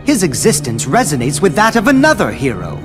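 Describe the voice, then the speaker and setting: A cold, condescending scholar speaks in measured riddles, his pitch swinging widely over each line. Loud, tense music plays under him in the game cutscene. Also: wildly swooping pitch. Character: scheming robed male scholar, cold and condescending. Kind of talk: video game cutscene dialogue.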